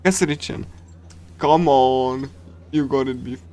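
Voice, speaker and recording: A man streaming a game, chatting casually and reading along in a rambling, halting way with repeated phrases. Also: medium pitch, fairly even intonation, mellow. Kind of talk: casual livestream chat while reading and translating a game.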